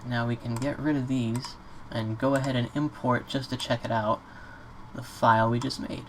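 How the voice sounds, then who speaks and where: Male tutorial presenter, average pitch, informal and unscripted, noisy desktop recording with typing. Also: level tone, moderate pace. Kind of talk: casual screen-recorded software tutorial. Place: indoors at a computer. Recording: clear background noise, keyboard clicks.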